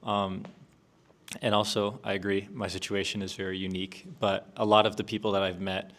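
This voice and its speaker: A young man speaks in a somewhat low voice on a student panel. His talk is relaxed and full of fillers and restarts. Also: fairly quick. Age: young adult. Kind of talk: casual discussion.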